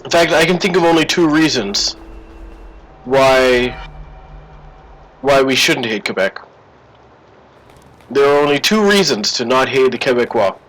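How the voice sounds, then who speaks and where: A man's voice: mid-range voice, lively intonation, measured pace, long pauses, fairly bright tone, poor audio. A man casually commenting on a game stream, joking and teasing his chat in a sarcastic tone. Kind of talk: casual live-stream commentary while playing.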